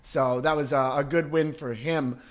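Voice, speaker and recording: Higher-voiced male podcast host. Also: steady tone, normal speed. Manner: conversational, laughs easily, long setup questions. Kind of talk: casual podcast chat.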